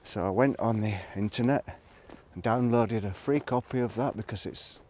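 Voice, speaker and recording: A man talking casually to a vlog audience in a reflective, rambling monologue, musing haltingly and trailing off between thoughts. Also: lowish voice, a little mellow. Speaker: vlogger. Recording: noticeable background noise, clicks.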